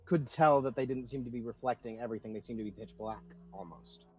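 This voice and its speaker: A man's voice: medium pitch, fast talker, a little mellow. A man narrating a tabletop roleplaying game as game master, informally describing scenes and questioning the players.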